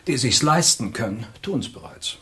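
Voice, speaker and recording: A male expert who knows his subject and says so plainly. He lectures in a mid-pitched voice, assured and matter-of-fact, in the style of a radio drama. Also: lively intonation, moderate pace, bright, forceful tone. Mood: instructive. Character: knowledgeable male weapons expert, assured and instructive. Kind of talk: German radio play acting.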